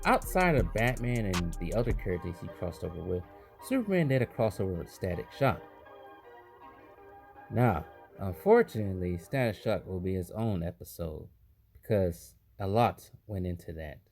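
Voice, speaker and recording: Male host, casual reviewer: low register with fry, informal conversational delivery, lots of pitch movement. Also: big pitch swings, moderate pace, a little mellow. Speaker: host of an animation history video series. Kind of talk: casual opinionated review commentary. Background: background music.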